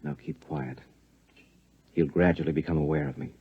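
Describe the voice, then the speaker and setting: An actor playing a secretive, suspicious husband in a 1950s American radio drama, speaking in a curt, controlled, threatening way. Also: male voice, a bass voice, animated, normal speed, vocal fry, breathy edge, full character voice. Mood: cold menace. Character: menacing, secretive husband interrogating with cold suspicion. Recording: muffled vintage radio recording.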